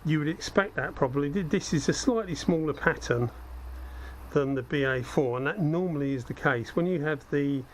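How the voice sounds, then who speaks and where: A man with a slightly croaky, cold-affected voice giving a casual hands-on demonstration, thinking aloud as he works. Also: on the high side, normal speed. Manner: conversational, self-deprecating asides, thinking aloud while working. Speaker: hobbyist host demonstrating spray gun equipment. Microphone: head-mounted action camera. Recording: steady background noise from workspace.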